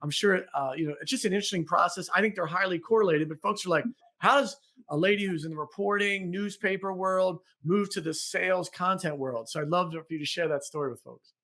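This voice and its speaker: A man hosts a conversational podcast interview, his voice pitched high. He talks fast and with emphasis, dropping quick interjections. His tone is bright and forceful. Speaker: podcast host.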